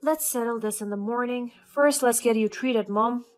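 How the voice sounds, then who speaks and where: A woman voicing a gentle, calm husband. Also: a little high, moderate pace, soft background music, close to an everyday voice. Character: calm, kind adult husband and father.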